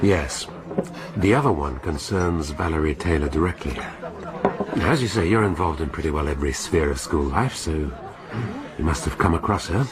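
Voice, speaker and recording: Police inspector, very deep voice, brusque, sardonic, lively pitch, crowd noise. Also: male voice, normal speed.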